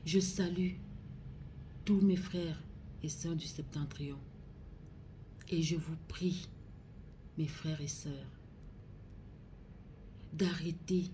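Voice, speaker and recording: A woman with a Cameroonian accent delivers a solemn public appeal in a low, mellow voice. She speaks very slowly and gravely, pleading in deliberate phrases, with a little noise in the recording. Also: steady tone. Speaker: regional councillor making a public appeal.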